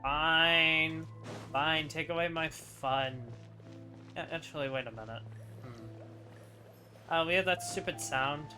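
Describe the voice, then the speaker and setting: Higher-pitched man commenting over game music. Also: takes it slowly, long pauses, bright, forceful tone. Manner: opinionated, thinking aloud. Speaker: gamer doing playthrough commentary. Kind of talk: casual gameplay commentary. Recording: clear game music behind voice.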